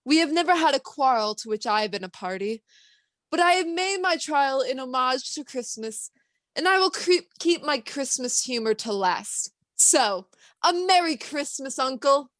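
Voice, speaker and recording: A woman's voice: high-pitched, animated, normal speed, a bit bright, no echo. A young woman playing a cheerful, earnest nephew in a school radio play, theatrical and pleading. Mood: pleading, warm. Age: teenager or young adult.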